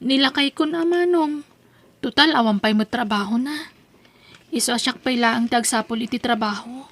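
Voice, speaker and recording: A woman's voice: fairly high pitch, moderate pace, fairly bright tone. A woman playing a humble, struggling young mother in an Ilocano audio drama. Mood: earnest.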